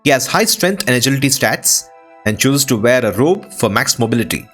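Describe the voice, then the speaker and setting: A man's voice: medium pitch, expressive, brisk, fairly bright tone, slightly rough. A man narrating a fantasy game playthrough in a scripted, storytelling style. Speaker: gaming video narrator. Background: music.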